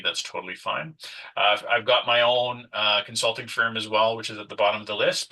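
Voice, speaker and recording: A man's voice: on the low side, pushed and bright, far from the mic, low-quality recording. A man whose first language is French, presenting a nonprofit workshop in a conversational way, with frequent fillers and restarts. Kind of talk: online webinar presentation.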